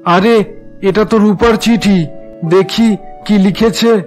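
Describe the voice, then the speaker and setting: A man's voice: sits high, takes it slowly, darkish tone, soft background music. A man voicing a strict, domineering middle-aged father in a cartoon, with an authoritative manner. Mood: curious. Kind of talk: Bengali cartoon dialogue.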